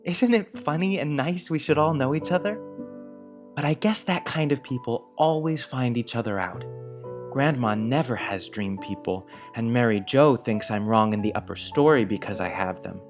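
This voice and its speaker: A man voicing an earnest, dreamy boy of about eleven in a storybook reading, speaking gravely and confidingly. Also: mid-range voice, expressive, moderate pace, over music, lightly acted. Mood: earnest, eager. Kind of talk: dramatized audiobook character reading.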